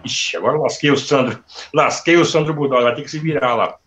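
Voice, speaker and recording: A man's voice: medium pitch, a little fast, fairly bright tone. A man hosting a live online interview, chatting freely and reading out sponsor plugs before his questions. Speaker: interview host. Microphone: video call.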